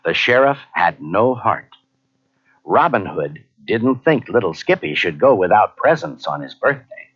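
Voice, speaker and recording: A man narrating a children's read-along story in a measured, expressive way. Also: on the low side, creaky. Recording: dull cassette, faint music behind.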